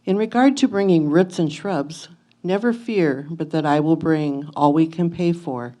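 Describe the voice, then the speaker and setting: A woman's voice: deep voice, measured pace, dark tone. A woman playing a devoted, anxious 1850s wife, reading her letter to her husband in an earnest, tender, old-fashioned manner for reader's theatre. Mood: anxious, questioning.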